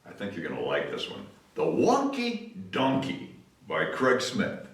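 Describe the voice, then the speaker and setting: A man's voice: fairly low pitch, wildly swooping pitch, unhurried. A man reading a picture book aloud to children in a playful, coaxing way, stretching words for effect and inviting listeners to join in. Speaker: story time reader, host. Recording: echoey room, distant microphone.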